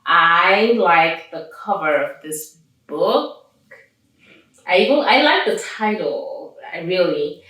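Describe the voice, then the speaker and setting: Lady doing kids story time, slow n expressive, chats to the kids, super echoey and kinda far from mic. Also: lowish voice. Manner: expressive, animated children's storyteller, asking listeners questions. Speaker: host of children's read-aloud channel. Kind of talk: storybook read-aloud with chatty asides to children. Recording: very echoey room, distant mic.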